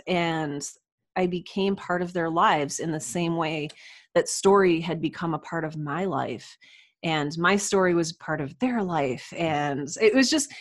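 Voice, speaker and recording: A woman chatting casually as a podcast guest, telling her story in an animated way. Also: deep voice, dry recording, clean studio sound. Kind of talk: casual podcast interview chat.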